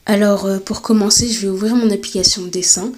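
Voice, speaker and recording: A woman with a cold giving a step-by-step drawing tutorial, talking hesitantly with many filler pauses. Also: medium pitch, steady tone, darkish tone. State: has a cold. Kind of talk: screen-recorded step-by-step tutorial.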